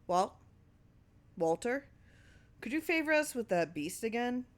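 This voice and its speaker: A woman voicing an unperturbed, watchful man in a short story, drawling and musing in a measured way. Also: fairly high pitch, big pitch swings, pitched above the performer's own voice. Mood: quietly intent. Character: unperturbed, watchful male film man, musing over a memory.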